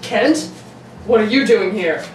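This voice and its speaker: A woman's voice: mid-range voice, animated, slow pace. A woman playing a hostile, dismissive male masked vigilante in a 1940s radio serial reading. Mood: irritated. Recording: roomy, distant microphone with faint noise.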